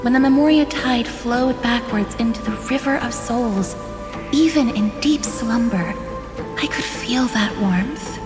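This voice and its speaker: Game role: actress as soft-spoken, earnest guardian maiden, slow and solemn, slightly high voice. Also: female voice, natural voice. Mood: tender, solemn. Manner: soft, formal, unhurried, earnest. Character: gentle young guardian woman bound to underworld waters, tender, solemn. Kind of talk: video game voice acting. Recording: echoey reverberant hall, music behind. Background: music.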